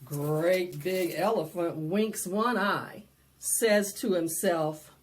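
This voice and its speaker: A woman's voice: fairly low pitch, slow pace, a little hiss or hum. A woman hosting a preschool storytime, reading a picture book aloud in a sing-song, dramatic way and asking the children questions. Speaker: library storytime host. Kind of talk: animated preschool read-aloud with singing.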